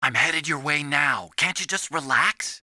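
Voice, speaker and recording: An actor voicing a timid, soft-hearted young male scientist in a video game, earnest and anxious as he explains things. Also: a little high, a little slow, dry recording, clean studio sound, heavily acted. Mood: brisk.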